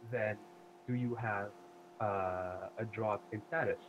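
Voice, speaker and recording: Halting male host, noisy recording. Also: fairly low pitch, fairly even intonation, lo-fi sound. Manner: halting, searching for words. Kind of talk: interview questions.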